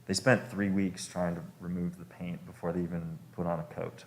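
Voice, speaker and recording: A man's voice: low voice, flat delivery, moderate pace, a little hiss or hum. A young man giving informal testimony as a homeowner at a public hearing, speaking politely and deferentially with some hesitation. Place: public meeting room.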